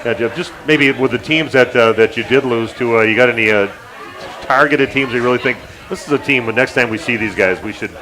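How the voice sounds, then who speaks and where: A man hosting a local radio sports show, chatting casually with guests, halting with lots of fillers and the odd joke. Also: mid-range voice. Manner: halting, many fillers, joking. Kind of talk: casual interview chat.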